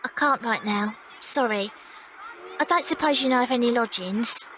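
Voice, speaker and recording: Young woman acting a plucky victorian runaway telling her story, bright highish voice, faint noise. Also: normal speed, poor audio. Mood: guarded, polite. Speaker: lead actress and narrator. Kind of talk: first-person audio drama narration.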